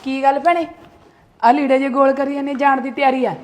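A woman's voice: sits high, little pitch movement, darkish tone, lightly acted. A middle-aged woman, acting a defensive mother who argues back and scolds her sister-in-law in a family skit. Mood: coaxing. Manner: argumentative, quick retorts, scolding.

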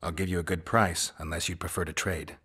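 An actor playing a stoic, curt monster hunter in a video game, with a terse, deadpan delivery. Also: male voice, sits low, a little fast, husky, exaggerated voice. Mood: flat, businesslike. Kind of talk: video game character acting.

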